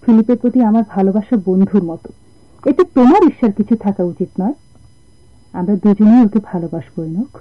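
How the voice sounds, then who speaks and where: A woman's voice: mid-range voice, fairly quick, close to an everyday voice. An actress playing a tender, anxious young woman in love with a sailor, emotive in radio drama style. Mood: reassuring. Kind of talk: Bengali radio drama acting. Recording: dull, lo-fi old radio recording.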